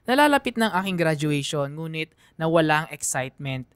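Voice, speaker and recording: An actress playing a saddened student narrating a letter in a Filipino radio drama, reflective and sorrowful, with a Filipino accent. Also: female voice, sits low, sing-song, brisk, clear, resonant tone, no room sound, soft background music. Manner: sorrowful, reflective, trailing off softly.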